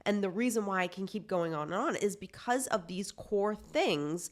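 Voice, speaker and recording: A woman's voice: mid-range voice, animated, moderate pace. A woman in her forties, a life coach hosting her own show, talking in a chatty, earnest way while still congested from a cold. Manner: chatty, earnest, lots of "like" fillers. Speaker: life coach and podcast host. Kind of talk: casual self-help talk to camera.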